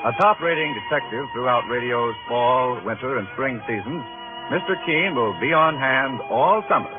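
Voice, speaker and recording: A man's voice: medium pitch, huge pitch swings, normal speed. A man with an American accent announcing in polished, emphatic 1950s network radio style, reading from a script. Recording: tinny old radio broadcast with music.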